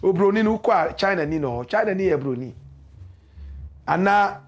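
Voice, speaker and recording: A Ghanaian man hosts a live talk show, reading viewer comments aloud and answering them with preachy, animated commentary. His voice sits high and leaps between loud exclamations and low muttered asides. There is a husky edge to his tone. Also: normal speed. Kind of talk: casual live-stream commentary.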